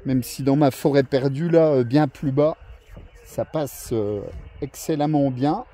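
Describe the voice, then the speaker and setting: A man's voice: medium pitch, a little slow, warm tone. A man chatting casually as an amateur radio operator, musing and hesitating in a friendly way, recorded outdoors. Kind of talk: casual running commentary. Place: outdoors at a hilltop radio spot.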